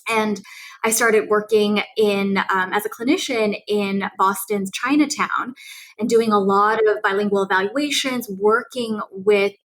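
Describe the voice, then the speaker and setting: A woman who grew up speaking Cantonese, answering as an interview guest in an earnest, steady way, with frequent ums and restarts. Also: mid-range voice, measured pace, low-quality recording. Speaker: guest researcher.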